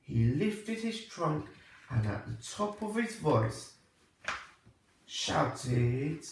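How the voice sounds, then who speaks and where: A man reading a children's storybook aloud like a primary teacher, with expressive, deliberate phrasing. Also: a little high, creaky, a little hiss or hum. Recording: echoey room, distant microphone.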